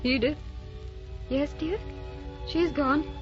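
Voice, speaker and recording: An actress playing a gentle, wistful young wife with a tender, soothing manner in a sentimental radio drama. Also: female voice, sits high, takes it slowly. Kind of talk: sentimental old-fashioned radio drama acting. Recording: muffled old radio recording with music.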